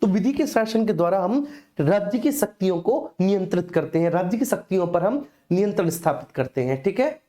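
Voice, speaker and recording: A man's voice: sits high, expressive, a little fast, mellow. A man teaching an informal live online exam class, instructing repetitively and often checking that students follow.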